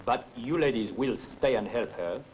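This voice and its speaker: An actor playing a hospitable, anxious Spanish husband in a 1960s film, with a Spanish accent and courteous manner. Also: male voice, medium pitch, animated, normal speed, slight background noise, close to an everyday voice, rough recording. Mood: earnest. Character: newly blind Spanish husband, hospitable, anxious expectant father. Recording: 1960s film soundtrack, faint music.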